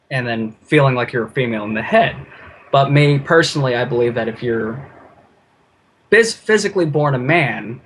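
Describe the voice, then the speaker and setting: A man's voice: medium pitch, fairly bright tone, slight background noise, low-quality recording. A man talking casually as a podcast guest, in an informal, rambling way. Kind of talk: casual chat.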